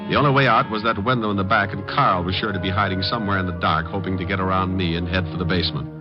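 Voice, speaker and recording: A tough, wisecracking private eye played by a man narrates his case in a low voice. The delivery is terse and deliberate, straight out of a hard-boiled radio serial. The sound is muffled and old, with music clearly behind it. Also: steady tone, natural voice. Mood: tense, measured.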